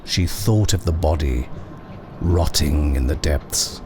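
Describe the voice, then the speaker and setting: A low-voiced man narrates an eerie horror story. He reads slowly and deliberately, leaving dramatic pauses between phrases. There is clear background ambience behind his voice. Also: background noise. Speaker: narrator reading a short story.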